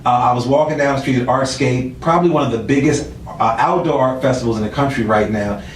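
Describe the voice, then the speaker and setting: A man's voice: mid-range voice, quick pace, a little hiss or hum. A man hosting a casual interview show, teasing and slangy in his delivery. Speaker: talk show host. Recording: echoey room, distant mic.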